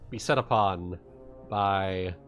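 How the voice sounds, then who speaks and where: Male game master, low register, slow and halting casual narration over faint music. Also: a bit bright. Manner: halting, repeats phrases as he narrates.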